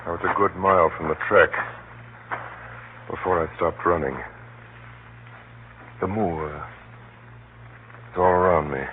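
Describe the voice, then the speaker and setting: Hunted hero-narrator for 1930s radio drama: low, tense, deliberate delivery over a noisy, scratchy broadcast. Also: male voice, fairly even intonation, phone-quality sound. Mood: dread, brooding. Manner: dramatic, deliberate, measured pauses. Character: hunted man recounting his flight, tense and grave. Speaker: narrator and lead actor.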